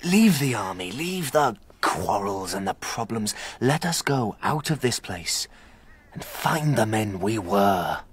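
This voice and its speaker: An actor playing an earnest, loyal knight, measured and urging, in a historical audio drama. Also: male voice, fairly low pitch, very animated. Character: Robert, loyal, earnest knight and royal companion. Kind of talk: historical radio drama acting.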